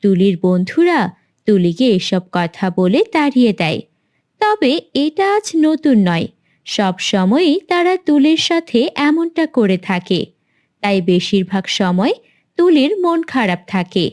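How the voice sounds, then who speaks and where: A woman's voice: medium pitch, sing-song, unhurried, darkish tone. A woman narrating a scripted children's story as its storyteller.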